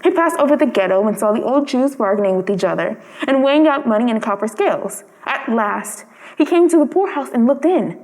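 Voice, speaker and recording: A woman's voice: fairly high pitch, animated, brisk, a little mellow. A woman reading a storybook aloud as a scripted storyteller. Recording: long reverb.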